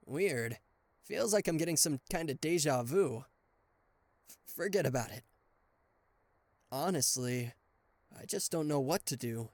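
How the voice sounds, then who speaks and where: A man playing a charismatic, flustered king of hell as a boyfriend in an ASMR roleplay audio drama, with a theatrical, halting delivery. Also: a little high, lively intonation, no echo. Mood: exasperated, lovestruck. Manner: theatrical, hesitant stammering, exasperated sighs.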